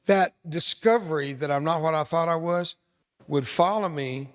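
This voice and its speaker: An older man from South Texas, a Spanish speaker from childhood, delivers an emphatic motivational talk to an audience. His voice sits a little high, and he drives his lessons home by repeating them. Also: moderate pace, clean tone. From South Texas, USA. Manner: emphatic, repeats key lines, addresses audience directly. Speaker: veteran speaker, resiliency trainer. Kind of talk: motivational speech.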